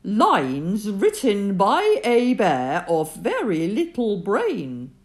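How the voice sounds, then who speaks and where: A gentle, slow-witted storybook bear, as a woman reading aloud puts him on, ponderous and earnest. He muses at a very slow pace with a lilting voice. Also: medium pitch, huge pitch swings, heavily acted. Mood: reciting.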